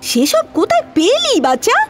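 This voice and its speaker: A woman's voice: very high voice, wildly swooping pitch, normal speed, background noise, heavily acted. A woman playing an older village maidservant in an audio drama, rustic, motherly and emotional, speaking rural West Bengal dialect. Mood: confessing. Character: older village maidservant on pilgrimage, tender-hearted, plain-spoken.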